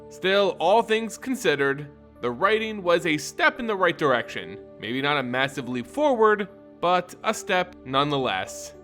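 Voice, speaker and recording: Bright, animated male narrator over faint music. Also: fairly high pitch. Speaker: host of a fantasy lore channel. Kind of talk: scripted narration and commentary.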